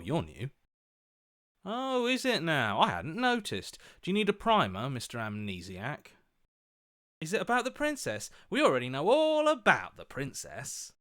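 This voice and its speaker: A man acting an earnest, wry hero's inner voice in a video game, with an animated, emphatic delivery. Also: fairly high pitch, full character voice. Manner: animated, sarcastic, exasperated, emphatic. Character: earnest, wry young male hero as an inner voice.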